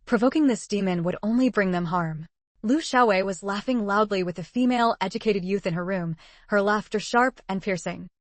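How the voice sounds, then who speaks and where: A woman narrating a translated web novel in an even, measured storytelling voice. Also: medium pitch, no room sound. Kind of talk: scripted audiobook narration.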